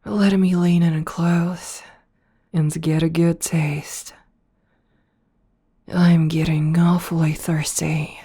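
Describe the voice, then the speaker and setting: A woman voicing a playful, predatory noble vampire princess in an ASMR roleplay, speaking softly up close and slipping into whispers. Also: low-pitched, flat delivery, breathy edge, exaggerated voice. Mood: teasing. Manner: soft, slow and toying, slipping into whispers.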